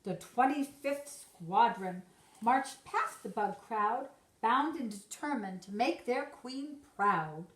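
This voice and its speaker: Slow, echoey woman reading a storybook. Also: a little high, lively intonation, fairly bright tone. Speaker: teacher reading aloud to children. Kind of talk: expressive storybook narration in rhyme. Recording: roomy echo.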